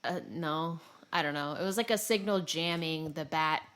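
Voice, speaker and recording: A woman's voice: deep voice, moderate pace, fairly bright tone. A woman chatting casually and informally about video games as a podcast co-host, rambling and self-deprecating.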